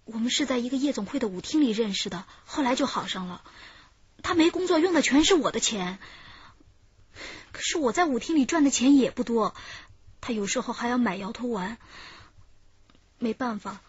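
A woman's voice: fairly high pitch, lively intonation, moderate pace, long pauses, slightly rough, no room sound, muffled recording. A woman acting in a radio drama as a wary, defiant young woman in a criminal gang, complaining and evasive. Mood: subdued, confessing.

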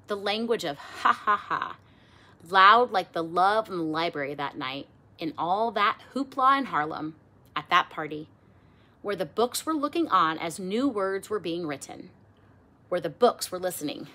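A middle-aged woman reading a children's picture book aloud expressively, with theatrical emphasis and drawn-out words. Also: on the low side, animated, sharp tone. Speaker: storybook reader. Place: small indoor room with bookshelves.

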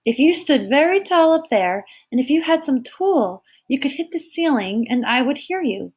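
A woman voicing a gentle, thoughtful boy in an audiobook, with a soft, earnest way of talking. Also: high-pitched, sing-song, moderate pace, pitched above the performer's own voice. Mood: earnest, instructive. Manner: gentle, murmuring. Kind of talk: audiobook character voice.

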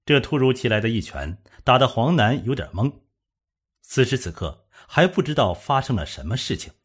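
A man with a husky voice reading a scripted suspense story as an audiobook narrator. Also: mid-range voice, sing-song, normal speed.

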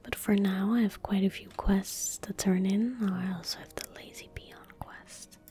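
Young woman, breathy ASMR whisper, mellow and dark, unhurried game commentary, close mic. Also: mid-range voice, fairly even intonation. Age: young adult. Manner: unhurried, murmuring to herself as she plays. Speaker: ASMR gaming streamer. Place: indoor room at a gaming setup. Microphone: close desk microphone.

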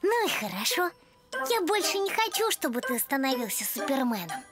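A trusting little boy puppy in a cartoon. The voice is extremely high and lively, full of childlike eagerness. Music sits clearly behind it. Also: moderate pace. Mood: hopeful. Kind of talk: animated children's cartoon acting.

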